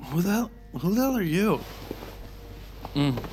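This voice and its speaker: A man's voice: high-pitched, big pitch swings, measured pace. An actor playing a casual male bar date who becomes a bewildered victim, in a naturalistic audio drama. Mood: woozy, confused. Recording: loud music under the voice.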